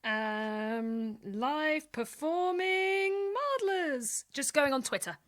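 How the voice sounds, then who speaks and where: Woman, high voice, casual co-host on an online live stream. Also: very slow. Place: online group call.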